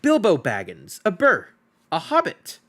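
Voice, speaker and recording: A tiny, frightened male hobbit, voiced by a man reading aloud, stammering and politely pleading. The voice lifts high and swings widely, bright and thin with tension. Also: huge pitch swings, normal speed, exaggerated voice.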